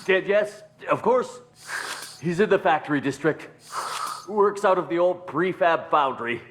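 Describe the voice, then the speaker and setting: An actor playing a cowardly, blustering criminal underling in a video game, nervy and easily cowed. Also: male voice, on the high side, expressive, measured pace, fairly bright tone. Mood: nervous, cowed.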